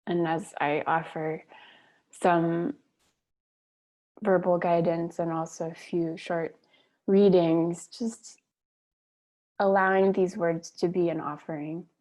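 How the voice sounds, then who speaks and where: A woman leading a guided meditation on a video call, speaking gently and unhurriedly with long pauses. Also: fairly low pitch, flat delivery.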